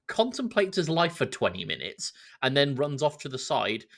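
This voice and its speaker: A man co-hosting a podcast, talking casually about films in an animated, mocking storytelling way. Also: mid-range voice, normal speed. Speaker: podcast co-host. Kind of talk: casual film discussion.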